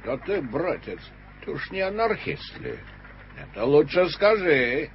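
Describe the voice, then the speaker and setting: Casting: gruff folksy sentry, lively voice, dull hissy old tape. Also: male voice, fairly high pitch, unhurried. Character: gruff friendly palace guard, adult male. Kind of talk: 1980s radio play acting. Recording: dull old radio play recording.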